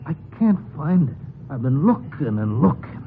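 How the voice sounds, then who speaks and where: An actor playing a desperate, unhinged ex-convict who stammers, pleads and rambles in a 1950s radio drama. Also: male voice, fairly high pitch, very animated, normal speed. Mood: frantic, pleading. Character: desperate, unhinged older ex-convict haunted by lost money. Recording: muffled, noisy 1950s radio broadcast.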